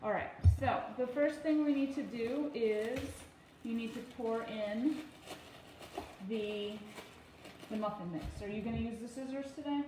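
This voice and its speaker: A woman in her thirties speaks in a fairly high voice. She gives casual, step-by-step instructions, patient but firm. The room around her is very echoey, with faint noise behind her, as if recorded on a phone in a home kitchen. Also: unhurried, warm tone. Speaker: parent giving a cooking demonstration. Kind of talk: casual instructional talk to camera and child. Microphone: phone propped on counter, about arm's length.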